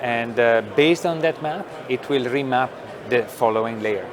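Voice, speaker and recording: A middle-aged man, a company founder explaining technology in an interview, thoughtful with many pauses and fillers. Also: medium pitch, a crowd in the background. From Italy. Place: trade show booth studio.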